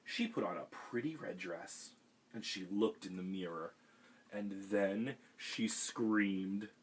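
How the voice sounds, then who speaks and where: Man, low and husky, kids' storytime reader on a webcam, gentle asides. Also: slow pace, poor audio. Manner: gentle, chatty asides to kids. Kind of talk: reading a picture book aloud on a livestream. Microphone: webcam livestream.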